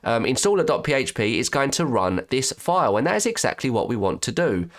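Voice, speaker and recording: A man with a stuffy nose and slightly croaky voice, chatting through a software tutorial and correcting himself as he clicks along. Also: mid-range voice, sing-song, a little fast. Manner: chatty, restarts and self-corrects while clicking. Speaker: web design tutor. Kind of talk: screen-recorded software tutorial.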